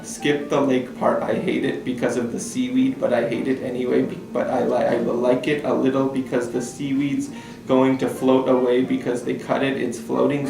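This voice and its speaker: Guy reading a kid's letter kinda haltingly, gravelly voice, mic is far off. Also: mid-range voice. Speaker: staff member reading aloud. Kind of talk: reading a child's letter aloud. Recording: distant roomy mic with guitar music. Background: guitar music.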